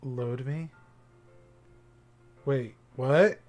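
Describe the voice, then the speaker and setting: A man's voice: mid-range voice, extremely slow, a little mellow. A man livestreaming a visual novel, casually commenting and reading the game text aloud, with game music behind him.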